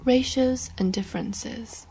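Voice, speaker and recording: A woman reading her own literary short story in a breathy voice that often sinks toward a whisper. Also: fairly high pitch, takes it slowly, dark tone, slight background noise. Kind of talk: literary first-person story narration.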